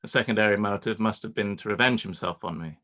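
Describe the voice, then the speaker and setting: An actor playing a proud, reserved young gentleman, speaking formally and with measured gravity in a live-read radio play. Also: lowish voice. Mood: grave, earnest. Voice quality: formal, measured, stiffly polite.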